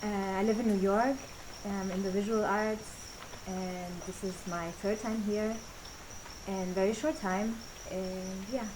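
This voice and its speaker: A woman's voice: mid-range voice, steady tone, unhurried, noisy background. A woman from Paraguay, a retreat participant, introducing herself and telling her story in brief, plain statements. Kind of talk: testimonial introduction.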